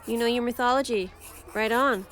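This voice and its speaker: Woman playing a bold, high-voiced outsider girl. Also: moderate pace, fairly bright tone, a little hiss or hum, lightly acted. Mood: friendly. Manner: blunt, confident, casual. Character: bold, carefree nonconformist girl, artsy outsider. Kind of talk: audio drama dialogue.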